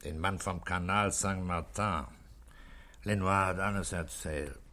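Gruff, low-voiced police commissioner. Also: male voice, fairly even intonation, a little slow, pushed and bright. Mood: stern, pressing. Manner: blunt, ordering, needling. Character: gruff, commanding male police commissioner interrogating suspects. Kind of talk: German radio play acting.

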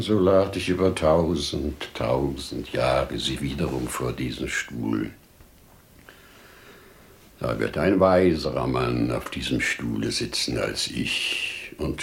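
An older actor playing a wise, humble old merchant in a 1950s German radio drama, with measured, declamatory verse delivery. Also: male voice, deep voice, darkish tone. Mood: solemn, gentle.

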